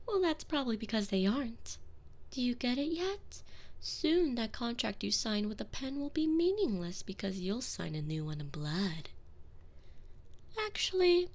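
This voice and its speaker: A woman voicing a commanding, possessive female vampire mistress in a binaural ASMR audio roleplay, speaking slowly and domineeringly, often whispering close to the ear. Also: fairly high pitch, very animated, a little mellow. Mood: possessive, menacing. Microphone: binaural microphone.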